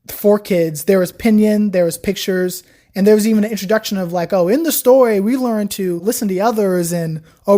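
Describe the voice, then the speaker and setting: A young woman, a guest on a podcast, chatting casually about learning Chinese, telling her story with lots of "like". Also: medium pitch. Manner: chatty, animated storytelling with frequent "like". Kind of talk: casual interview chat.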